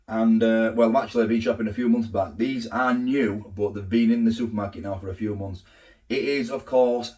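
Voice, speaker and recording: A man with a hoarse, sore throat, chatting casually to camera from his home kitchen as a food vlogger, rambling with fillers and asides. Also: fairly low pitch, darkish tone.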